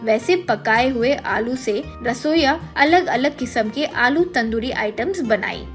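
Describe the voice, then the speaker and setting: A woman's voice: fairly high pitch, expressive, a little slow, soft background music. A woman reading a scripted moral story as its narrator.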